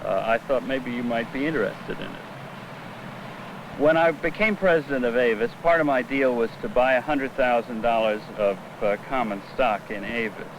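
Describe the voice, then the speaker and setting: American man, mid-pitched, plain-spoken executive type, candid address on a noisy vintage film track. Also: normal speed. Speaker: former corporate executive. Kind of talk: candid explanation to camera. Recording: old film soundtrack with noise.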